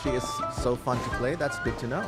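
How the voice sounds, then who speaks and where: A man's voice: medium pitch, a little fast. A man giving casual live commentary as he streams a video game playthrough. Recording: game music behind the commentary. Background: video game music.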